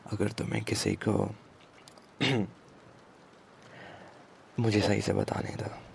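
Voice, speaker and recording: A man voicing a smug, possessive mafia boss in an intimate ASMR audio roleplay, with a gravelly, halting murmur and menacing endearments. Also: on the low side, level tone, darkish tone, slight background noise, full character voice. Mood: quietly threatening.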